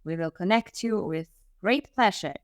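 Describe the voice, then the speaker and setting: Clear-voiced woman co-hosting a talk show. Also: lowish voice, normal speed, no room sound, clean studio sound. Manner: upbeat, welcoming sign-off. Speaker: co-host.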